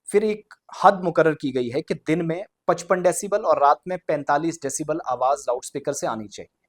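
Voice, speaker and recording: A man's voice: a little high, moderate pace. A man presents news commentary to viewers in an explanatory way, glossing formal terms in English.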